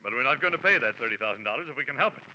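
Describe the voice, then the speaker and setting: A gruff, self-important male office boss in a 1940s drama, stiff and businesslike. His very hard, forceful tone comes through a dull vintage recording with faint noise. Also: medium pitch, expressive, rapid-fire. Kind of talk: 1940s radio drama acting. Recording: muffled, faintly noisy old radio broadcast.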